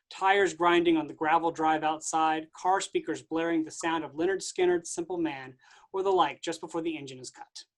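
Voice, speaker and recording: A man reading stage directions aloud in a staged play reading, steady and plain. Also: fairly high pitch.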